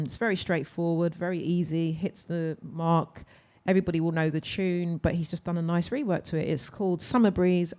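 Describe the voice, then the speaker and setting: Woman guest DJ, lower-pitched voice. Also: warm tone. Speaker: guest DJ on a radio show. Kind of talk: casual radio chat. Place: radio studio.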